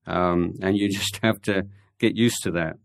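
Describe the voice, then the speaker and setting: A man, a Buddhist monk, answering audience questions in an informal talk, hesitant with many pauses and restarts. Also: low-pitched, fairly even intonation, gravelly, dry recording, clean studio sound.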